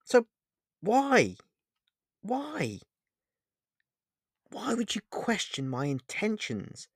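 A man playing a charming, intense lover in a romance audiobook, speaking formally and repeating his phrases haltingly. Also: medium pitch, lively intonation, dry recording, clean studio sound, close to an everyday voice. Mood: hurt, emphatic. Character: handsome, charming, wounded, intense male lover. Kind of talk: dramatised romance audiobook dialogue.

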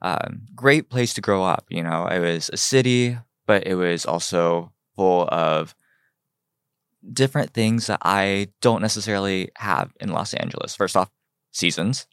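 A young man from Ohio, a fashion designer telling his story in an earnest, measured way on a podcast. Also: deep voice, a bit bright, clear, resonant tone, no room sound, studio quality. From United States (Ohio). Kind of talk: podcast interview.

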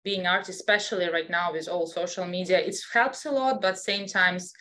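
Russian accent woman rambling casually about her work, lots of likes and ums, slightly low voice. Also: moderate pace, pushed and bright. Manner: chatty, many fillers and restarts, non-native grammar. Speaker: guest, scientific illustrator. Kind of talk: casual interview chat.

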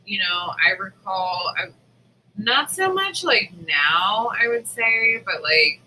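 A woman with a very bright, sharp voice talks in a casual, confessional style. She is frank and self-mocking, swears freely, and sounds like a podcast co-host. There is faint noise behind her. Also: mid-range voice, animated, slow, low-quality recording. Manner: candid, self-deprecating, profane.

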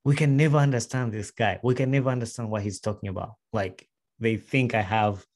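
Low-pitched young guy from uganda, chatty interview guest, fast and rambly. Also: fairly even intonation, a little mellow. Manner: quick, rambling, with restarts and fillers. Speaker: guest, aircraft mechanic. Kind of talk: casual conversational interview.

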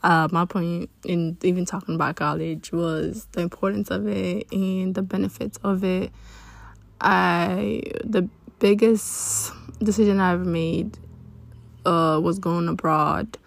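Young woman with gravelly vocal fry. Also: on the low side, fairly even intonation, a little slow, no room sound. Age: early 20s. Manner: rambling, chatty, many restarts. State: voice lower than usual from being up long. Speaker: podcast host. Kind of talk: casual solo podcast chat.

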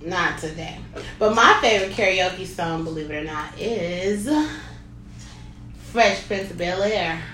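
A woman's voice: lowish voice, unhurried, pushed and bright, faint music behind. Chatty woman co-host in a roomy space. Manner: laughing, chatty storyteller. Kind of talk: casual chat show. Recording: roomy, a bit distant, faint noise.

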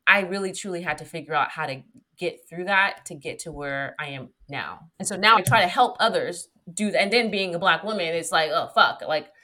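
A woman's voice: fairly low pitch, brisk, pushed and bright. A woman guest talking in a candid, emphatic way in a casual interview, swearing freely.

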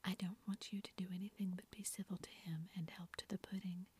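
A woman's voice: fairly low pitch, steady tone, brisk, darkish tone, husky. A woman whispering the lines of a blunt, headstrong teenage girl in a storybook reading. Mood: huffy.

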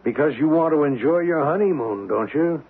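An actor playing a cynical American embassy official in an old-time radio drama, gravelly and husky, with a wry, clipped, commanding manner. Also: male voice, on the high side, expressive, moderate pace. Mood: brisk, commanding. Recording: tinny, band-limited old radio broadcast.

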